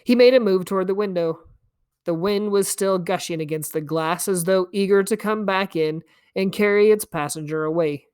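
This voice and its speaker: A woman reading a story aloud as an expressive narrator. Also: mid-range voice, moderate pace, clear, resonant tone. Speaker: story reader.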